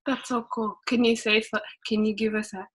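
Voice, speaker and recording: A woman's voice: fairly high pitch, flat delivery, a little fast, a bit bright, slightly rough. A young woman hosting a podcast interview, asking questions hesitantly with many fillers. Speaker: student interviewer, podcast host.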